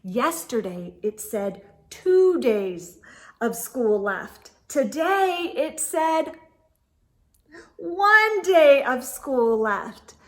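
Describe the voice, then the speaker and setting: A woman hosting a storytime, reading a children's book aloud in an expressive, deliberate storytelling way. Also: sits high.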